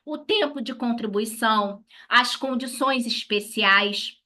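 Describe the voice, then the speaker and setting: A woman's voice: on the high side, slow pace, bright, forceful tone. A Brazilian woman giving an instructional talk as a lawyer to colleagues, didactic, posing questions and answering them herself. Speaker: lawyer teaching a class.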